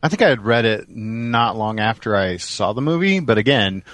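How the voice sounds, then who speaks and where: A man's voice: fairly low pitch, animated, normal speed, fairly bright tone, dry recording. A man chatting casually as the co-host of a podcast. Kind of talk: casual chat.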